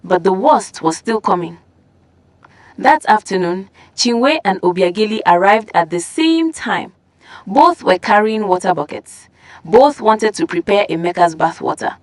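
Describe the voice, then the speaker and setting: A woman's voice: mid-range voice, lively intonation, a little slow, no echo. Woman storyteller in a very dry recording. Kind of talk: scripted folktale narration.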